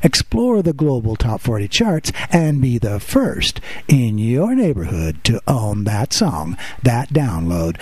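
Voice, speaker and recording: Male host, slightly husky, very animated pitch, dry close-mic sound, self-mocking radio chatter. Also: no echo. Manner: chatty, self-deprecating, with filler pauses. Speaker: podcast host. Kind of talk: casual radio patter. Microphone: close to the microphone.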